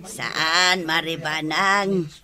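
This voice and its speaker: An actress with an Ilocano Filipino accent playing a brash, complaining neighbour wife in a radio comedy drama. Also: female voice, low voice, steady tone, very slow, bright, forceful tone, full character voice. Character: brash, pushy neighbour wife who borrows.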